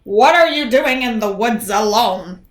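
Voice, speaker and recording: A woman's voice: on the high side, expressive, a little slow, echoey room, soft background music. A woman voicing a big, hungry, sly male wolf in a storybook reading, with a growling voice.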